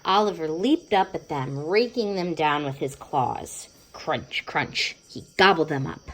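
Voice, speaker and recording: Low-voiced, unhurried woman reading a storybook. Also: expressive, poor audio. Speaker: storybook narrator. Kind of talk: expressive children's read-aloud.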